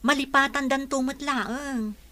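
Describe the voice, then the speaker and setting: An actress in an Ilocano radio drama playing a caring, practical friend who gives advice. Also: female voice, high-pitched, steady tone, measured pace, sharp tone. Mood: concerned.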